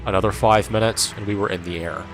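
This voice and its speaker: A man's voice: fairly low pitch, fairly even intonation, fast talker, close to an everyday voice. An actor playing a wry, world-weary soldier who narrates his war in the first person, sardonic and irreverent, in an audio drama. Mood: grim. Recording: music under the voice.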